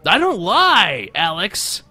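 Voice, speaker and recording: Casting: catty, theatrical young man male actor, piercingly bright, swooping delivery, mocking and crude, podcast drama. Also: high voice, wildly swooping pitch, takes it slowly, full character voice. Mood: dramatic. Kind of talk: podcast audio drama acting.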